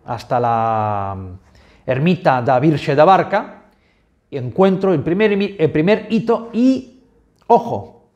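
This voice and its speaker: A man's voice: a little high, darkish tone, highs cut off. A man from Spain telling viewers about his pilgrimage walk in a chatty, reflective way, often correcting himself and speaking to them directly. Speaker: pilgrim vlogger. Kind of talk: reflective travel narration.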